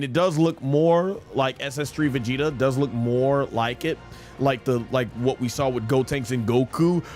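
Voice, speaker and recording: A man chatting casually and enthusiastically as a live streamer, rambling through game commentary. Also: medium pitch. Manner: enthusiastic, rambling fan chat. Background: music.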